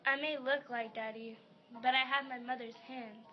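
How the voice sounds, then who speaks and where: A woman's voice: sits high, fairly even intonation, moderate pace, voice pushed up, natural voice. A woman playing a wistful, hurt young woman in an amateur radio play, on a muffled recording with music behind.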